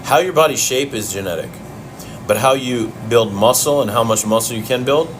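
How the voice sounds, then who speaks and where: A man's voice: mid-range voice, normal speed, background noise. A man from Chicago hosting a casual livestream Q and A from home, blunt and profane, answering questions while he eats. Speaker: fitness livestream host.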